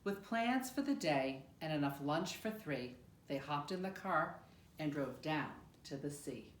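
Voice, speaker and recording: Woman reading a kids rhyming book out loud, really slow, roomy echo, lots of pauses. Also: low-pitched, a little hiss or hum. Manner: expressive, measured storytelling with deliberate pauses. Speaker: storybook reader. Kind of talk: read-aloud of a rhyming children's picture book. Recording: roomy, echoing room sound.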